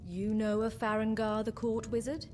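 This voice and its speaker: A woman's voice: on the high side, monotone, a little mellow, lightly acted. Composed, learned sorceress played by a woman over music. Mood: measured, storytelling. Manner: formal, measured, eloquent. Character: composed, learned female mage companion, courtly and bookish. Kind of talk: scripted fantasy game dialogue.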